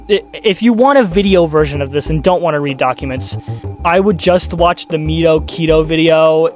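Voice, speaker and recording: Casual video essay host, middle register, dry sardonic asides, music underneath. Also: medium pitch. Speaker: host of a video commentary channel. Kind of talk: casual scripted video commentary.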